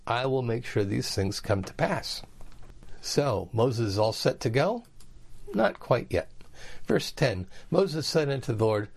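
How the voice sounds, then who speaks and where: A man reading scripture aloud and explaining it in plain, everyday words as a Bible teacher. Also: mid-range voice. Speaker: preacher.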